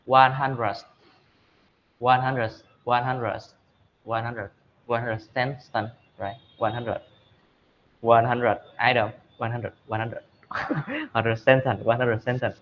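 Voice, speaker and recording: A man with a Vietnamese accent, an English learner doing speaking practice, halting and repeating phrases as he searches for words. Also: mid-range voice, steady tone, highs cut off, a little hiss or hum.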